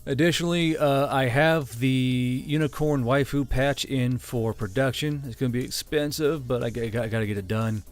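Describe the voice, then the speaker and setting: A man's voice: mid-range voice, moderate pace, few pauses, faint music behind. A man hosting a channel, talking casually off the cuff and stumbling over his words. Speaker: channel host. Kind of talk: casual unscripted chat to viewers.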